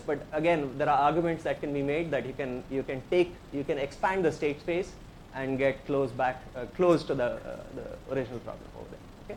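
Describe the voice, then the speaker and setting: Indian-accented male professor, slightly high pitch, talks through the material step by step and keeps inviting questions. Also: darkish tone, slight background noise, poor audio. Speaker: professor giving a tutorial. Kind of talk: technical lecture.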